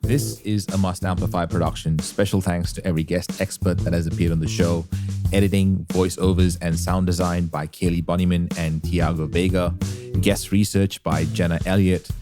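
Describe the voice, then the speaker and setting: Deep-voiced man hosting a podcast. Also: little pitch movement, normal speed. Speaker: podcast host. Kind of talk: scripted podcast outro. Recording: music bed behind voice.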